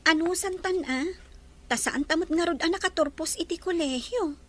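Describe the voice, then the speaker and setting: A woman plays a bashful young hotel cleaner in an Ilocano audio drama. The voice is noticeably high, with a modest, girlish manner. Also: normal speed. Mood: chatty.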